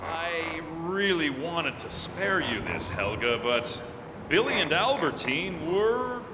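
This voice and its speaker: A man playing a stern, authoritative police corporal, formal and deliberate, in an old-time radio adventure. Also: on the high side, sing-song. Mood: regretful. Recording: reverberant hall, clear background noise.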